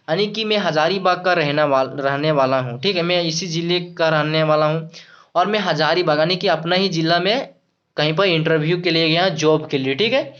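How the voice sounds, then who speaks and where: A man teaching English to Hindi speakers. He repeats himself, restarts words and slows right down when he says the English examples. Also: a little high, fairly bright tone. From Jharkhand, India. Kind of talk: explaining English phrases in Hindi. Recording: dull lo-fi sound.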